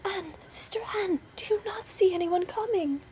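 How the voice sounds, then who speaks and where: A desperate storybook wife, acted by a woman narrator, pleads in a very high, breathy voice. She sounds trembling and sorrowful, on a somewhat noisy recording. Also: normal speed, dark tone. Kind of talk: storybook character voice.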